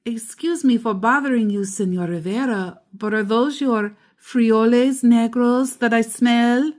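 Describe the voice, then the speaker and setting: A woman's voice: a little high, measured pace, a little mellow, pitched above the performer's own voice, close to an everyday voice. A woman voicing a polite, friendly grandmother in a children's storybook, speaking courteously. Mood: polite, eager. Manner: courteous, storybook character delivery. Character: polite, friendly grandmother asking neighbours for trades.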